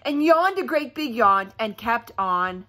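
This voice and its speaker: A woman's voice: a little high, expressive, takes it slowly, pushed and bright. A woman reading a children's story aloud with expression, breaking off for chatty asides and questions to her young listeners. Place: indoors at home by a fireplace.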